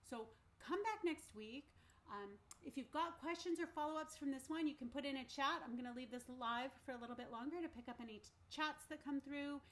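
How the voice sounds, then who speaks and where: A woman's voice: high voice, fairly quick, a bit bright. A woman hosting an online recovery meeting as a nutrition therapist, chatty and encouraging, with lots of "like" and "um". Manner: chatty, says "like" and "um" often, upbeat and encouraging. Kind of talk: casual informative talk. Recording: faint background noise.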